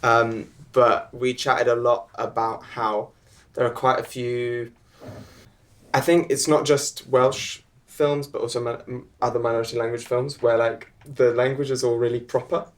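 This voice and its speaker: A young man talking on stage at a live panel event. He chats easily but halts a lot, with frequent ums and restarts in the middle of sentences. His voice sits at a middling pitch for a man. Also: little pitch movement. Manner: chatty, halting, full of ums and restarts. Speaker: filmmaker guest.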